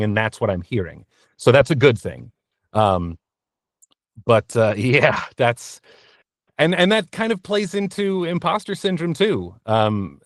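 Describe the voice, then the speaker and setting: A man speaking as an interview guest about his work as a voice actor, in a reflective, halting, self-deprecating way from a small booth. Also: medium pitch, no echo, clean studio sound. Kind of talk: candid interview talk. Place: small blanket-fort recording booth.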